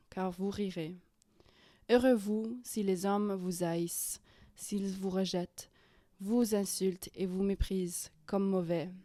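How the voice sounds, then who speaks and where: A young woman whose first tongue is guides a prayer and reads Scripture aloud, pausing often and slipping into whispers. Her voice is mellow and warm, and she takes her time. The sound is dry and close, with no room echo. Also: mid-range voice. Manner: hesitant, many fillers and restarts, often drops to a whisper. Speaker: YouTuber leading prayer. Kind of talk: casual guided prayer and Bible reading.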